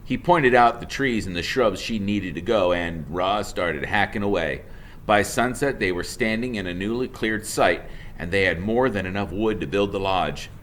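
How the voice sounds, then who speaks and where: A man's voice: lowish voice, moderate pace, a bit bright, a little hiss or hum. A man narrating a children's storybook aloud in the role of a teacher.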